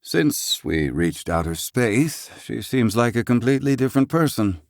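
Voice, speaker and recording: An actor playing a proud, gruff, excitable older scholar-inventor in a video game, speaking in formal, archaic, theatrical phrasing. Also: male voice, mid-range voice, normal speed, dry recording, studio quality. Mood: reflective. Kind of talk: video game character acting.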